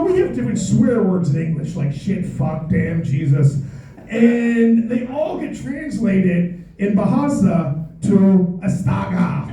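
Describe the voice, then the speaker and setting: An American man with a very high, mellow voice performing stand-up in a club. He is heard from a distance in an echoey room, and his delivery is playful and mock-exasperated. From United States. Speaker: stand-up comedian. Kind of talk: stand-up comedy set. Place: comedy club stage with live audience. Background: audience laughter.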